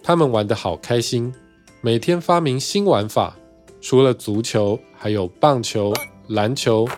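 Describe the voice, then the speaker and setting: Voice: mid-range voice, measured pace, a little mellow, faint music behind. A storyteller narrating a scripted children's tale in simple storybook phrasing.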